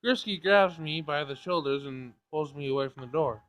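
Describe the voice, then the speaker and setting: A man's voice: a little high, normal speed, a bit bright. A man reading a visual novel aloud as dramatic narration on a gaming channel, in a screen recording. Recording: dull lo-fi screen recording, game music behind. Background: faint game music.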